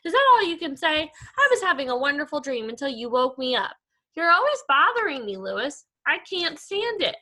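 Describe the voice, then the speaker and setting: A woman reader plays a drowsy, easily annoyed young schoolgirl in a children's story. The voice is high and bright, with emphatic, complaining swings in pitch. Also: sing-song, fairly quick, full character voice.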